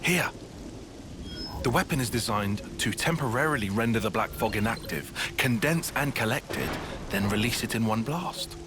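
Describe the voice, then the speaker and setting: A man's voice: medium pitch, lively intonation, moderate pace, noisy background, close to an everyday voice. A man voicing a composed, analytical young tactician in a game, with precise, formal explanations. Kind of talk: video game cutscene acting.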